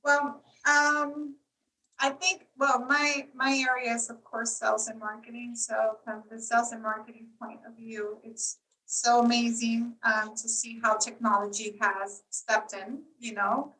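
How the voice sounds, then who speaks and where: Casting note: woman in her forties from Costa Rica, fairly high and bright, chatty interview guest, set back a little from the mic. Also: little pitch movement, a little slow, distant mic, low-quality recording. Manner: chatty, many "you know" fillers. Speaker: tourism marketing agency owner, guest. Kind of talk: casual interview answers.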